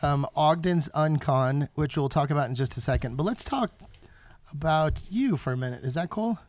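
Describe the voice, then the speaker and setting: Higher-voiced chatty man hosting. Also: normal speed. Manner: relaxed, chatty, teasing. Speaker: podcast host. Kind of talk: casual interview chat. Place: guest's studio, on location.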